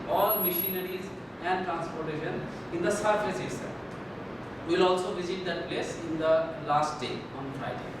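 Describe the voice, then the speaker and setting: A man, coordinating a course and making halting announcements with many pauses before a lecture. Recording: echoey hall from far off, loud rumble.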